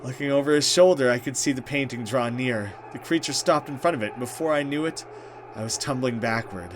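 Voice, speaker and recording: A man's voice: medium pitch, fairly quick, background noise. A man hosting a let's play, reading horror game narration dramatically and reacting with casual commentary.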